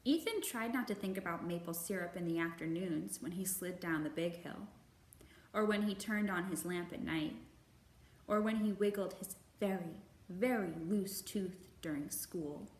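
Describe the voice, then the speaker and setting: A woman hosting a children's storytime, reading and speaking to young listeners with slow, deliberate, expressive phrasing. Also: fairly low pitch. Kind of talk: picture book read-aloud for young children. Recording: roomy echo, faint background noise.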